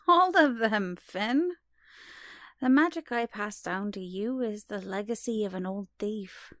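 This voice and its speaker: A woman playing a gentle, knowing long-lost mother in an audio drama, maternal with a touch of reproach. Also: medium pitch, measured pace, no echo, clean studio sound, close to an everyday voice. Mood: regretful.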